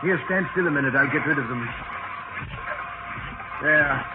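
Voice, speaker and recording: An actor playing an excitable, obsessive professor at war with insects, fervent and fussy, in 1940s radio drama style. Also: male voice, on the high side, unhurried, over loud music, close to an everyday voice. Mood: alarmed, agitated. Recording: noisy, narrow-band vintage radio recording.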